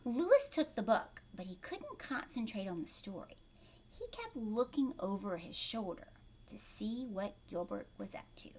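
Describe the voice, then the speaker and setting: Slow, animated woman reading to children. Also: mid-range voice, husky. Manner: expressive, checks understanding with questions to young listeners. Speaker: teacher reading a picture book aloud to children. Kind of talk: animated children's read-aloud with explanatory asides.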